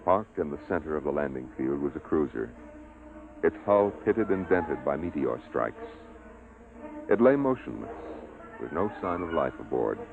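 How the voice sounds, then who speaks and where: Very deep radio drama narrator. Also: male voice. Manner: deliberate, scripted storytelling. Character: grave male science fiction storyteller. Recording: dull old radio recording, clear music behind. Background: music.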